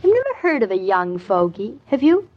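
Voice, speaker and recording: Nineteen-year-old wife, playful, teasing, pert, medium pitch, 1940s radio soap, muffled lo-fi recording. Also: female voice, huge pitch swings, normal speed. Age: young adult. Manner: pert, bantering.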